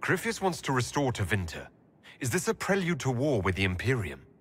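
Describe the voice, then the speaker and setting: A man playing a skeptical but principled elf leader in a fantasy game, measured, questioning and earnest. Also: mid-range voice, wildly swooping pitch, slight background noise. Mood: concerned. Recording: game dialogue over faint music.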